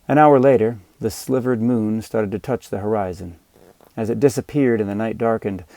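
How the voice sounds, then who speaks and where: A man narrating scripted fiction as an audiobook reader, with measured, dramatic storytelling. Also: lowish voice, dark tone, no room sound.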